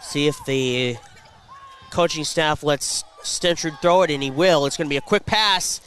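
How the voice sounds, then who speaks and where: A man calling high school football play-by-play for radio, congested from a cold and picking up energy during plays. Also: unhurried. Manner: rising excitement on plays. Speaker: play-by-play announcer. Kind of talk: radio sports commentary.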